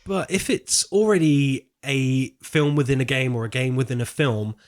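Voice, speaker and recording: A man's voice: medium pitch, moderate pace. A man co-hosting a film podcast, talking in an informal, slangy, conversational way. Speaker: podcast co-host. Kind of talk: casual film podcast chat.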